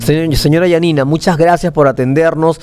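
A man's voice: on the high side, fairly even intonation, brisk, a little mellow, slight background noise. A young man with a Peruvian accent presenting live news and interviewing, emphatic and given to repeating phrases, in a TV studio. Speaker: news anchor. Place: small TV news studio, seated at desk.

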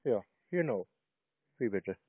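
A man's voice: lowish voice, big pitch swings, takes it slowly, slightly rough, no room sound. A man bantering casually on the phone in a teasing, sarcastic manner. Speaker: prank call recipient baiting scam callers. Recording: tinny phone-like sound.

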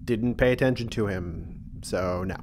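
Woman doing a very low, grumbling hungover man. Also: a little slow, darkish tone, a little hiss or hum. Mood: curt, queasy. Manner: muttering, grumbling, curt. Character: irritable, hungover male front desk clerk. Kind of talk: comic audio drama.

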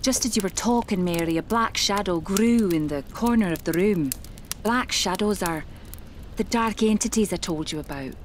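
An actress playing an eccentric, flighty and solemn psychic medium in an audio horror drama, with flowery, genteel speech. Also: female voice, medium pitch, moderate pace, a little hiss or hum, lightly acted. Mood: flustered.

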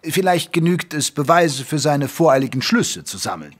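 An actor playing a wry gentleman doctor who narrates a German detective audio drama in a measured, literary manner. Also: a little high, very animated, husky. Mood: dryly amused. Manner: measured, literary, dryly amused.

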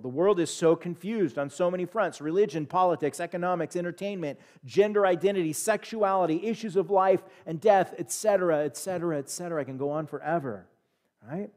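A man in his thirties with an American accent, preaching a sermon in a church sanctuary on a headset mic, swinging from loud emphatic peaks to quiet asides. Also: on the high side. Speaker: pastor. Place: church sanctuary, standing at a pulpit.

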